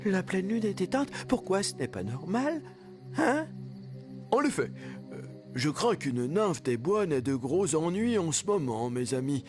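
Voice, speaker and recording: A man's voice: sits high, sing-song, a little slow, music in the background. A man playing a learned, worried dwarf leader in a children's cartoon, explaining things in a slightly fussy way. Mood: puzzled, concerned.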